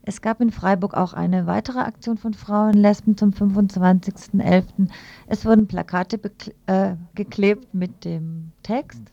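A woman's voice: mid-range voice, fairly even intonation, unhurried, creaky, warm tone, dry recording, highs cut off. A woman radio presenter reading scripted news items in a studio.

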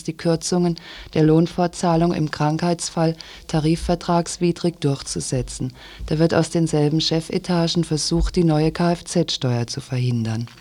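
A radio presenter with a medium-pitched voice delivers a scripted news commentary. The wording is pointed and critical, read out as a prepared report. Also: a little mellow.

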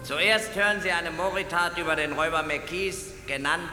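A man's voice: on the high side, moderate pace, roomy sound. A man delivering theatrical announcements and a sung ballad, with musical accompaniment. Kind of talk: theatrical spoken introduction and sung murder ballad. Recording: loud music under the voice. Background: loud musical accompaniment.

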